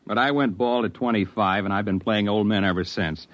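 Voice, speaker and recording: A man's voice: lowish voice, a little fast, no room sound, lightly acted. An American man playing an earnest, stubborn stage actor turned amateur sleuth in a 1950s radio drama. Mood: earnest, insistent. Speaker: first-person narrator and lead character. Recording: dull, lo-fi 1950s radio broadcast.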